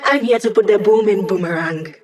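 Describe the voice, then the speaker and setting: A woman's voice: mid-range voice, fairly quick, faint music behind, lightly acted. A woman playing an upbeat, wisecracking young hacker. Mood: playful, teasing. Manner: casual, playful, teasing. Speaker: voice actor. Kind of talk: video game voice lines.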